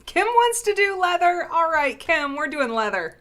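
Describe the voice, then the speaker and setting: A woman's voice: very high voice, very animated, normal speed, a bit bright. A woman hosting a casual craft live stream, bubbly and sniffly from a cold, greeting viewers by name.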